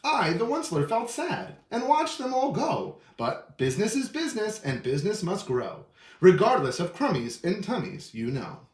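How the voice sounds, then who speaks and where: A man's voice: high-pitched, roomy sound, pitched above the performer's own voice. A man voicing a greedy, defiant, self-justifying businessman in a storybook, with emphatic, theatrical rhyming delivery. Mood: regretful, justifying. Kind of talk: storybook read-aloud in character.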